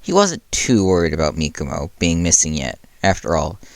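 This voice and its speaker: An author with a low voice narrating a story aloud in an informal live reading. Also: sing-song, moderate pace, no echo. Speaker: author reading own fan fiction.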